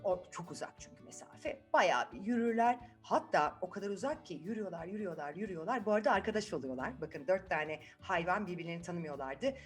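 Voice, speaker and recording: A woman's voice: lowish voice, animated, fast talker, few pauses, soft background music. A woman storyteller narrating a fairy tale to children, putting questions to listeners and repeating phrases for suspense.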